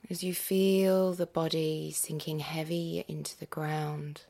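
Hushed, very slow woman guiding meditation. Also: low voice, flat delivery. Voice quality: breathy, husky, often near-whisper. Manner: gentle, unhurried, with long pauses. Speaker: yoga nidra meditation guide. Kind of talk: guided meditation, hushed and soothing.

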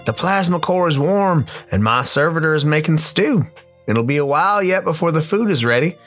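A man's voice: a little high, animated, moderate pace, a bit bright, soft background music, pitched above the performer's own voice. A man playing a chummy, sales-minded storyteller salesman in a radio play. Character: folksy salesman storyteller, huckster named Jimmy, by a fire.

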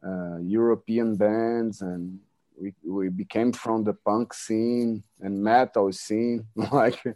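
A Brazilian man in his forties with a Brazilian Portuguese accent, chatting as a guest in an interview, halting and repeating words, laughing often. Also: on the low side, fairly even intonation, mellow. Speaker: band member, guest. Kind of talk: casual interview chat.

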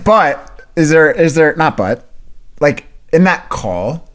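A man's voice: a little high, very animated, slow pace. A man co-hosting a podcast who keeps asking probing follow-up questions. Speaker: podcast co-host. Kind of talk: casual podcast chat.

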